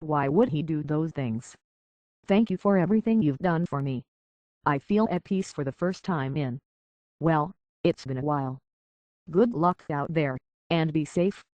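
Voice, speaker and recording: An actress playing an earnest, conflicted young woman in a video game, speaking hesitantly and with a soft heart. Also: deep voice, a little slow, no echo, dull audio. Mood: troubled, then grateful, peaceful. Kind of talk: video game character dialogue.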